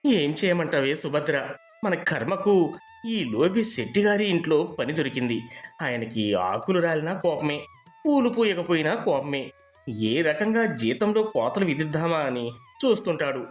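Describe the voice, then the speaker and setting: A man's voice: a little high, lively intonation, unhurried, soft background music. A man playing a poor, loyal village gardener in a story, deferential and weary in manner. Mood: bitter, resigned. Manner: deferential, lamenting.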